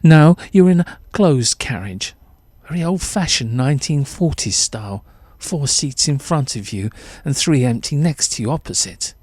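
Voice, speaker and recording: A man's voice: medium pitch, lively intonation, moderate pace, hardly any pauses, a little mellow, dry recording. Dry, close-sounding male story narrator. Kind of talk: dramatic second-person short story narration.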